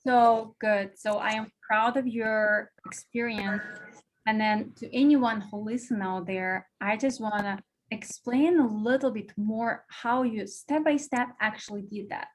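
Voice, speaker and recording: A woman's voice: a little high, a little slow, slight background noise, poor audio. A woman hosting an interview, eager and speaking English with non-native phrasing. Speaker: podcast host. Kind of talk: casual interview.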